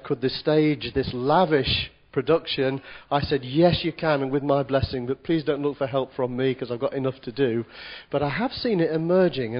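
A man gives a sermon, his voice husky as he gets over a cold. He talks in a chatty, self-deprecating way, often restarting his words, at an average pitch. The church recording sounds a little dull. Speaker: visiting preacher.

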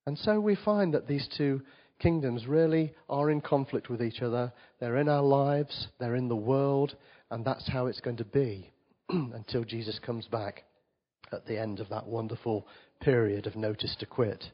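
A man preaching a sermon to a congregation, his voice a little croaky from a cold, chatty and asking the people questions as he reads scripture. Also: medium pitch, normal speed. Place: church service before a congregation. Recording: dull lo-fi recording.

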